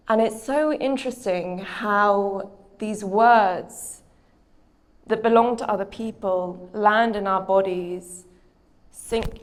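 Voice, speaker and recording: Young British woman author, reflective, hesitant, mid-pitched, stage chat. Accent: British English. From Britain. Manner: thoughtful, hesitant, with frequent fillers.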